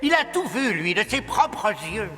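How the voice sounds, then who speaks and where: A man voicing an anxious, polite little sidekick in an animated fairy tale, with a nervous, deferential manner. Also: high voice, normal speed, exaggerated voice. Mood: worried. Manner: nervous, deferential, stammering when alarmed.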